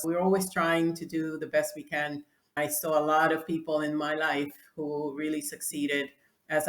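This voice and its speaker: A woman's voice: sits low, a bit bright. A woman in her sixties from the Dominican Republic, a Spanish native speaker, answering interview questions in a reflective way with frequent restarts. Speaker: guest, clothing designer. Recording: echoey room.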